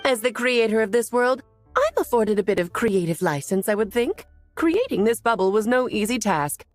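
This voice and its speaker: Whimsical, genteel sorceress for anime game woman actor: breezy, teasing, animated melody in the voice. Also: a little high, normal speed, no echo, soft background music, close to an everyday voice. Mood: playfully indignant. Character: elegant, whimsical, self-assured adult sorceress and mother.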